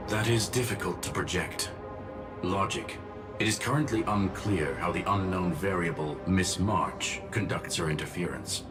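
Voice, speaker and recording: A man acts as a coolly logical gentleman machine in a video game. His voice is low and his phrasing formal and exact. Loud music runs underneath. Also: close to an everyday voice. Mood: calm, analytical. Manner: formal, precise, measured.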